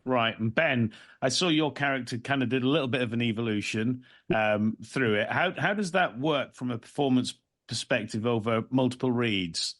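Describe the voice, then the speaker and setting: Guy hosting a podcast, chatty interview style, mid pitch, no room sound. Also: normal speed, fairly bright tone, no echo, clean studio sound. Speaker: podcast host.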